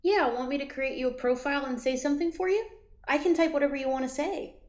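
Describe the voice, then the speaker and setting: A woman's voice: high voice, fast talker, a little mellow, close to an everyday voice. A woman playing a helpful, nudging young female friend in an audio drama, with a casual, teasing manner.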